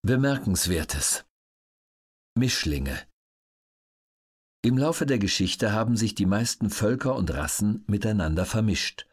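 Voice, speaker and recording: Husky, low-voiced male narrator. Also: lively intonation, unhurried, pauses often. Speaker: audiobook narrator. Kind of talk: scripted documentary audiobook narration.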